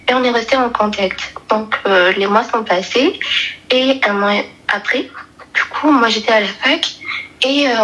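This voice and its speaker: A woman's voice: mid-range voice, fairly bright tone, rough recording. A woman in her twenties calling in for advice, telling a personal story hesitantly and searching for her words. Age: 20s. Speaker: caller seeking advice. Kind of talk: personal story told in casual conversation.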